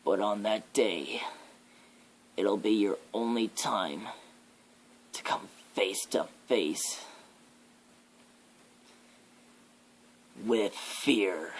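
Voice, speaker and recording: A menacing demonic embodiment of fear and death, performed by a man in an ASMR horror roleplay. The delivery is very unhurried and ominous, with drawn-out pauses between phrases. Clear background noise runs under the voice. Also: lowish voice, low-quality recording. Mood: ominous, hushed.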